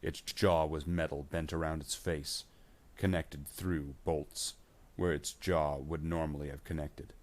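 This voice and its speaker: A man's voice: very deep voice, steady tone, unhurried, a little mellow. A man reading scripted science fiction battle narration.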